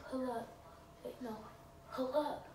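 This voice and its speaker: Playful high-voiced young woman in a kitchen. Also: steady tone, takes it slowly. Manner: playful, sing-song, repeats catchphrases. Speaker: cooking vlogger. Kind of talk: casual cooking vlog chatter. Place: home kitchen, indoors. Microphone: phone camera on the counter. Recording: echoey kitchen, camera at a distance, loud background noise.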